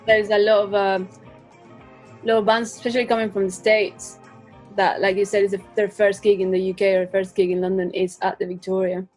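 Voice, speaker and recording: A woman's voice: mid-range voice, little pitch movement, a little fast, a little mellow, rough recording. Casual young woman with music underneath. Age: young adult. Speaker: band musician being interviewed. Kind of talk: casual chat. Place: home room, on a video call. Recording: video call, music underneath.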